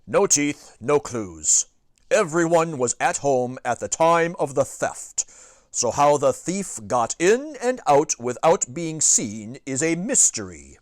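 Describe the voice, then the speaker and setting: A man doing a loud earnest police officer. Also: on the high side, big pitch swings, slow pace, no echo, voice pushed up. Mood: official.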